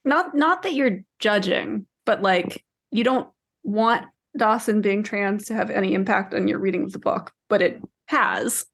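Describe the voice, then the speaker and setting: Female co-host, medium pitch, informal discussion, measured and explanatory delivery. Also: gravelly. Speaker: podcast co-host. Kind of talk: casual book discussion.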